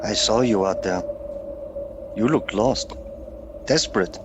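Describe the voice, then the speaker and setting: Slow, mysterious storybook hunter. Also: male voice, on the low side, background noise. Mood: soothing, mysterious. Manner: slow, welcoming. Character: mysterious, unhurried male hunter living inside a painting. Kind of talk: audio play acting.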